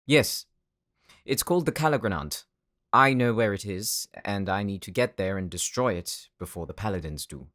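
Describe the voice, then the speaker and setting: A man's voice: lowish voice, expressive, moderate pace, a bit bright. A man playing a self-assured, businesslike weapons dealer in an anime audio drama, cool, formal and articulate. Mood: determined, confident.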